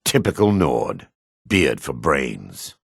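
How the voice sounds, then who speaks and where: A man voicing a gruff, dry-mannered dark elf warrior and townsman in a fantasy video game, with a gravelly, husky voice. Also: a bass voice, big pitch swings, takes it slowly, no room sound, exaggerated voice. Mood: disdainful, weary. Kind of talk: video game character voice lines.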